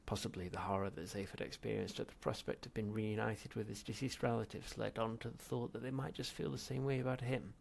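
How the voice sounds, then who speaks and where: A man reading a comic science fiction novel aloud as an expressive audiobook narrator, with a gravelly, husky voice. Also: fairly low pitch, brisk, hardly any pauses, a little hiss or hum. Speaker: audiobook reader.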